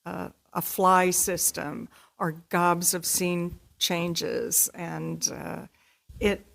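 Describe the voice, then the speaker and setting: A woman's voice: on the low side, fairly even intonation, very slow, creaky, fairly bright tone. A woman, a community theatre actor, explaining things conversationally as an interview guest.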